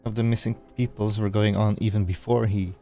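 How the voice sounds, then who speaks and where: A man's voice: on the low side, steady tone, a little fast, mellow, faint music behind. A man doing casual let's play commentary, hesitant and muttering to himself. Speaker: game streamer.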